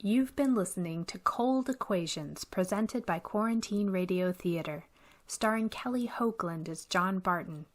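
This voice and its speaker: Female announcer, scripted closing credits, average pitch. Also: measured pace.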